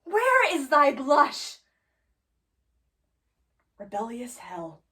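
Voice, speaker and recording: A woman playing an anguished, high-voiced accusing young prince. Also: huge pitch swings, bright, forceful tone, heavily acted. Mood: scornful. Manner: declamatory, drawn-out, emphatic. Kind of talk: classical Shakespearean stage acting.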